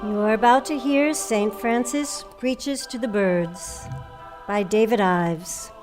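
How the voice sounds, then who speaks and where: A woman's voice: on the high side, slow pace. A woman hosting a podcast, reading scripted announcements and introductions. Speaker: podcast host. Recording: music behind the voice. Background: music.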